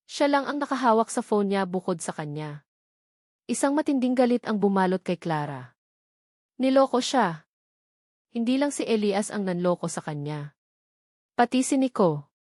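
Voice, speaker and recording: A woman's voice: mid-range voice, a little mellow, no echo, studio quality. A woman storyteller reading a scripted romantic drama in a dramatic narrating style.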